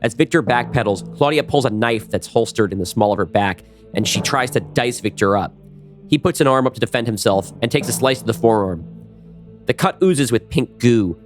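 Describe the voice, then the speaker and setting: A man's voice: medium pitch, expressive, moderate pace, soft background music. A man giving scripted narration for an action audio drama.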